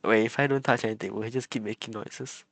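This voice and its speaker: A man's voice: fairly low pitch, fairly even intonation, fast, fairly bright tone, no room sound. A man giving live gameplay commentary as a streamer, often stammering and repeating his words over game music. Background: video game music.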